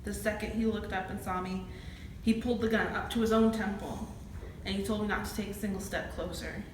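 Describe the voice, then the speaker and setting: A woman's voice: mid-range voice, fairly even intonation, brisk, natural voice. A woman acting a shaken, plain-spoken campus safety officer testifying in an amateur courtroom drama. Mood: somber. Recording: echoey, distant room with clear noise.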